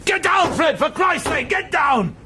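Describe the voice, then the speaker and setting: An actor playing a brusque, exasperated police inspector in a radio crime drama. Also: male voice, extremely high pitch, sing-song, a little slow, raised voice, full character voice. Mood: alarmed. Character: brusque middle-aged male police inspector. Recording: radio drama with background noise.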